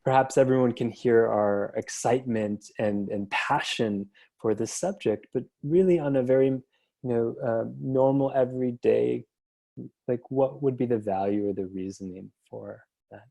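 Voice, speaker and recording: A man's voice: medium pitch, normal speed, creaky, warm tone. A man moderating an online panel discussion, talking in a thoughtful, searching way with frequent restarts, over a video call.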